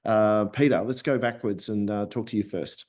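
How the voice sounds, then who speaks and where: A man's voice: on the low side, steady tone, normal speed, darkish tone. An Australian man moderating an online panel, rambling and stumbling over repeated words.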